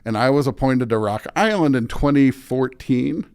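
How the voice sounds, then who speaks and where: A man's voice: medium pitch, expressive, moderate pace, a little mellow, clear, resonant tone. A middle-aged man with a Midwestern American accent, talking casually and anecdotally as an interview guest, often dropping in "like". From suburban Illinois, United States. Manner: chatty, anecdotal, frequently says "like".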